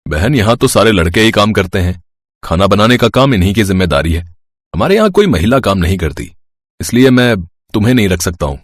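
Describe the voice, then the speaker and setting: A man's voice: fairly low pitch, big pitch swings, a little fast, dry recording, studio quality. A man playing a kindly, matter-of-fact roadside eatery owner in a story, plain-spoken and helpful. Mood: apologetic.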